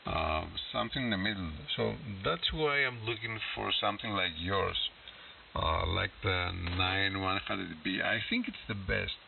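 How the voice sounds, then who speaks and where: A man with a Greek accent chatting casually as an amateur radio operator, halting and searching for words, over a shortwave radio link. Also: low-pitched, creaky, far from the mic. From Greece. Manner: halting, searching for words in non-native English. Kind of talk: casual ham radio conversation. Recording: hissy, muffled shortwave radio transmission.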